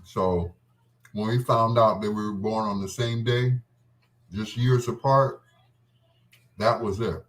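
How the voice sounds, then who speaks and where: A man's voice: lowish voice, steady tone, takes it slowly, pauses often, a bit bright. A man hosting a radio show, telling personal stories in a casual, rambling, reminiscing way. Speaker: radio host. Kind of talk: casual storytelling between love songs.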